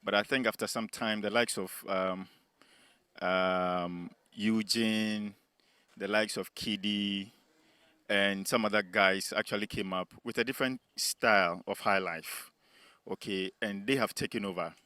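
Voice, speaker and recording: A man with a Ghanaian accent giving his opinion on a panel, unscripted, in a measured, explanatory way. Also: on the low side, fairly even intonation, pushed and bright, clear, resonant tone, dry recording. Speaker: panelist on a TV discussion show.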